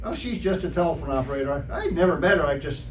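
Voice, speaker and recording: Bumbling, wisecracking old-time radio husband, played by a man, excitable and folksy, roomy stage sound with background noise. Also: fairly high pitch, racing, close to an everyday voice. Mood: flustered. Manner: folksy, stammering, protesting. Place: live stage performance by amateur troupe. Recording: echoey hall, distant mic, clear background noise.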